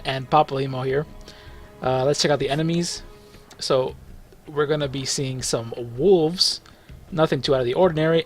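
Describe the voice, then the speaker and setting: A man giving casual, rambling commentary over a mobile game run, full of fillers and self-corrections as he walks viewers through it. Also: mid-range voice. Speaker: gaming video host.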